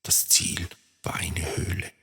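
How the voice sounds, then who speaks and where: A man narrating a children's story in a lively manner, speaking to young listeners. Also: takes it slowly, in a whisper. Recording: long reverb.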